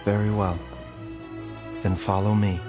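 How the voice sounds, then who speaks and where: An actor playing a calm, courteous doctor who speaks in a measured, formal, precise way, as in a video game. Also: male voice, on the low side, close to an everyday voice. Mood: measured, grave. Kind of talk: scripted video game voice acting. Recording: music behind the voice. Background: background music.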